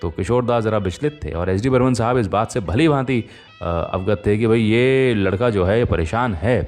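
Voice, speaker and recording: A man hosting a radio show, telling stories in a chatty, conversational way with pauses and rhetorical tags. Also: fairly low pitch, darkish tone, soft background music. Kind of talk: conversational radio storytelling about old film music.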